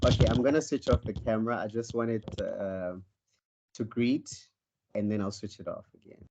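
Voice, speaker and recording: A man's voice: fairly low pitch, steady tone, normal speed, gravelly, a little mellow. A South African man from Cape Town, a life coach giving an online presentation and introducing himself with frequent ums and self-corrections. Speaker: life coach, guest speaker. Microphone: video call. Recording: muffled video call audio.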